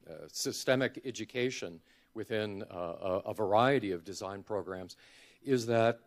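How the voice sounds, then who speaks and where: Halting man commenting at a conference. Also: lowish voice. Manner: halting, thoughtful, academic wording. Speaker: audience member, design educator.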